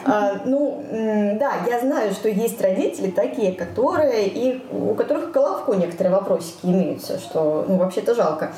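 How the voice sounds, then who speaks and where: A woman's voice: mid-range voice, animated, moderate pace, hardly any pauses, warm tone. A woman co-hosting a podcast in casual chat, informal and joking, with hesitant phrasing. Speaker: podcast co-host. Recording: roomy echo, faint background noise.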